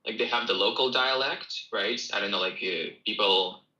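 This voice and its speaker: A man's voice: lowish voice, moderate pace, pushed and bright, echoey room, poor audio. A young man from Russia with a Russian accent, co-hosting a casual chat and repeating his phrases as he talks to viewers. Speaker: co-host.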